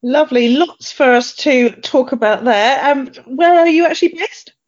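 A woman's voice: high voice, moderate pace, muffled recording. A woman hosting a podcast interview, curious and chatty, asking questions with some fillers. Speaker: podcast host.

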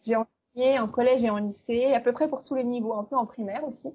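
Chatty female teacher guest, husky voice, lo-fi remote audio. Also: fairly high pitch, fairly even intonation, quick pace. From eastern France. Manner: chatty, many fillers and restarts. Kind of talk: casual interview talk.

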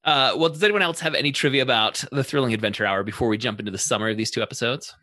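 A man's voice: mid-range voice, very fast, bright, forceful tone. A middle-aged man hosting a podcast in a conversational style. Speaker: podcast host.

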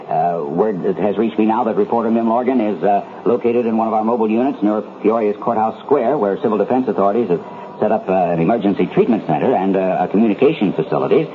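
An actor playing a rattled news anchor in a 1970s radio drama, reading an emergency bulletin with hesitant, stammering, uneasy delivery. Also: male voice, on the low side, quick pace, natural voice. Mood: uneasy, flustered. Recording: tinny noisy old radio broadcast.

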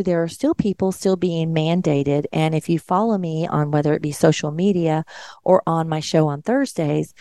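A middle-aged woman with a deep, gravelly voice talks straight to her audience in an earnest, emphatic monologue. Her tone is dark and mellow, recorded dry and close with no room sound. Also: no echo. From Georgia, USA. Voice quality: deeper voice since an illness. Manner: earnest, emphatic, speaks to listeners directly. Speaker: nurse and podcast host. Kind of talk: monologue commentary.

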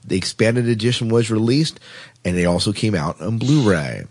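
A man's voice: lowish voice, expressive, moderate pace, a little mellow, slight background noise. A man co-hosting a casual podcast, chatting through science fiction news. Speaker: podcast co-host.

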